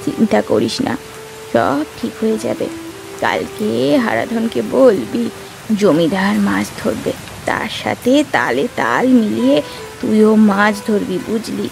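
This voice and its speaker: A woman's voice: mid-range voice, sing-song, a little slow, vocal fry, over music, exaggerated voice. A woman voicing an old beggar woman who is secretly a kindly, stern goddess in a children's moral cartoon. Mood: gentle.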